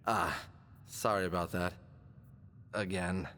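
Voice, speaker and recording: A man's voice: low voice, fairly even intonation, takes it slowly, bright, forceful tone, full character voice. A man playing an earnest, casual adventurer briefing a friend in an audio drama, with dry asides. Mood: casual, sheepish.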